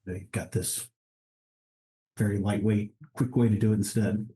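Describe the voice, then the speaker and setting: A man, a guest on an interview podcast, talking in a relaxed way with frequent restarts and easy laughs. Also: on the low side, a little slow. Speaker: guest, international trade director. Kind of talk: casual interview conversation.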